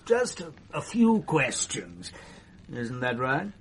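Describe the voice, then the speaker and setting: A man playing a hesitant, placating police superintendent in a classic radio drama, smoothing things over with a soothing, halting manner. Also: medium pitch, huge pitch swings, a little hiss or hum.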